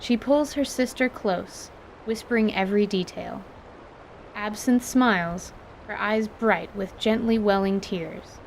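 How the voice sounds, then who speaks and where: A woman's voice: medium pitch, a little slow, creaky, a little hiss or hum, rough recording. A woman giving scripted, dramatic narration of a fantasy story as its narrator.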